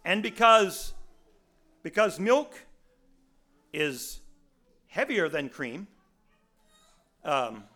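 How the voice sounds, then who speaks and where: A raspy-voiced man in his sixties preaches with a bright, pressed edge to his voice. He tells homespun stories in a folksy way, often restarting his sentences, and his voice sits a touch higher than most men's. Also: very animated, extremely slow, pauses often. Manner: folksy storytelling, many restarts. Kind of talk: sermon.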